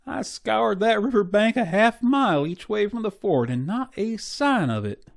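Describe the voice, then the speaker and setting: Man as loyal frontier sidekick: rustic, respectful, somewhat high voice, radio western. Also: lively intonation, moderate pace, gravelly. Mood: dutiful. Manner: deferential, folksy. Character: loyal, folksy deputy-like helper to the marshal. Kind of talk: western radio drama acting.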